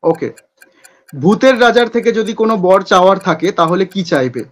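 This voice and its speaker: A man hosting a playful quick-fire question segment in a chatty interview style. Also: high-pitched, animated.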